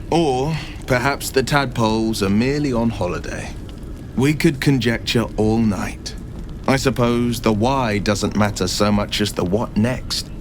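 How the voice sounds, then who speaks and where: An actor playing a gallant, eloquent monster hunter in a fantasy game, with a florid, measured storytelling manner. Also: male voice, medium pitch, noisy background, close to an everyday voice. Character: gallant, eloquent male monster-hunting adventurer hero.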